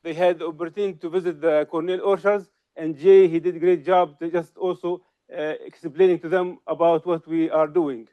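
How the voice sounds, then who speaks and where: Middle-aged man, high smooth voice, halting seminar delivery, slightly muffled recording. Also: flat delivery. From Syria. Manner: halting, frequent hesitations and restarts. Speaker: research associate giving a seminar. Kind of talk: academic seminar lecture.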